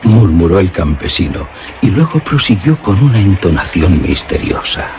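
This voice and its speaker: A man's voice: low voice, sing-song, normal speed, darkish tone, slight background noise. A man reading scripted literary narration as the narrator of a radio drama.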